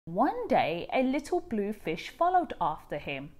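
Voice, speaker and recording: A woman reading a children's storybook aloud in expressive scripted narration. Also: mid-range voice, unhurried.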